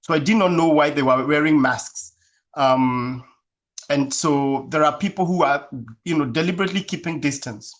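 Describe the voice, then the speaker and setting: A man from Kenya who is a guest in an interview and answers casually in a measured, thoughtful way, with many fillers. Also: a little high, fairly bright tone.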